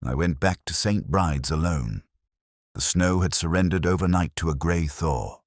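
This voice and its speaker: Very deep-voiced man narrating detective fiction. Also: no room sound, clean studio sound. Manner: measured, literary, brooding delivery. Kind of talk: scripted first-person detective story narration.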